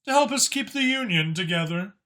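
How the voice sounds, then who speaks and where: Man voicing a bright, higher-pitched dignified dragon. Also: lively intonation, brisk. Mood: earnest. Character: Mach, dignified male dragon authority, measured and guarded. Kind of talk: audiobook character acting.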